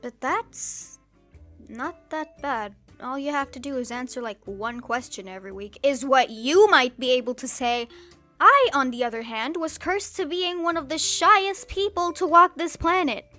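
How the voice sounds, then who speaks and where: A woman's voice: sits high, expressive, unhurried, pushed and bright, faint music behind. A teenage girl from Turkey delivering a scripted vlog monologue in a wry, sarcastic way, with sudden comic outbursts. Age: teens. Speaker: YouTuber.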